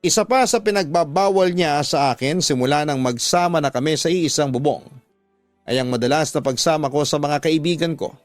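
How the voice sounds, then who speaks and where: A man's voice: on the high side, fairly quick, no room sound, soft background music. A man with a Filipino accent narrating a scripted first-person story in the style of a radio drama.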